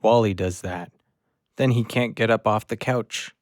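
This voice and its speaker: A man's voice: lowish voice, a little slow, no room sound, clean studio sound, lightly acted. A man playing a cute, cocky little short-legged dog in an audio play, cheerful and self-pleased.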